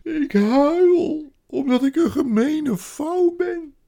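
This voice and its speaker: A storybook reader voicing a sorrowful, guilt-ridden male faun who wails and confesses haltingly. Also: medium pitch, creaky, a little mellow, pitched above the performer's own voice. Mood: tearful, self-reproaching. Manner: wailing, self-accusing, halting.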